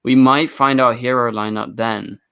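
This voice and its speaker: A man's voice: medium pitch, steady tone, gravelly, fairly bright tone, smooth. Easygoing man reading viewer messages. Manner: easygoing, quick agreeing interjections. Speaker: show host reading super chats. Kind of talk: casual podcast chat.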